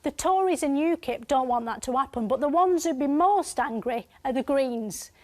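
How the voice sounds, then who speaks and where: An actress playing a dim, earnest female TV presenter who explains things in a muddled, deadpan way. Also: high voice, moderate pace. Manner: deadpan, muddled, matter-of-fact. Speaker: comic presenter explaining election.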